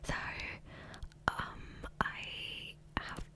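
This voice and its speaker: Slow, whispering ASMR woman. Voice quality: soft, breathy unvoiced whisper. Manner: rambling, hesitant, often trailing off. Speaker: ASMR gaming creator. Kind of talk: whispered ASMR gameplay ramble. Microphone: close USB condenser microphone.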